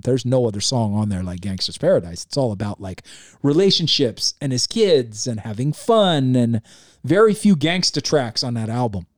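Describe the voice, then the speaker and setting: Podcast co-host, male, laid-back talker, a bit hoarse, dry close sound. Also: medium pitch, no room sound. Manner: relaxed, reflective, swears casually. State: slightly hoarse. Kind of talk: casual podcast chat.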